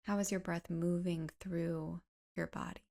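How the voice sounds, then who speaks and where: A woman leading a guided meditation in a soft, gentle, soothing way, with unhurried phrases that turn breathy at the ends. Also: lowish voice, fairly even intonation.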